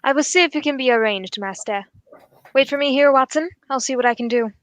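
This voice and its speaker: A woman playing a calm, courteous male detective in a formal, measured radio drama style. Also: sits high, no room sound, close to an everyday voice. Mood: discreet, obliging. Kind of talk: 1940s radio drama acting.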